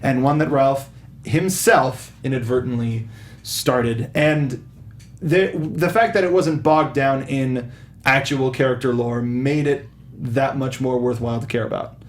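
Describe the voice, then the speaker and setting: A man's voice: medium pitch, unhurried. A man hosting a film review show in casual panel chat, talking like an earnest enthusiast.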